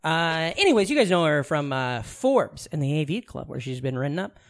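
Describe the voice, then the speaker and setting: A man's voice: a little high, big pitch swings, brisk. A man co-hosting a podcast, joking loosely with his co-host and interviewing a guest. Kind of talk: casual podcast banter.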